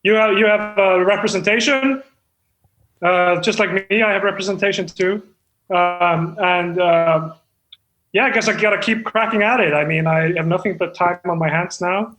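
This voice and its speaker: A man plays a hapless amateur screenwriter with a high voice. He is earnest and insecure, and he stumbles haltingly through his sentences in an improvised comedy. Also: fairly bright tone, roomy sound, low-quality recording. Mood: awkward, earnest. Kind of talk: improvised comedy character talk.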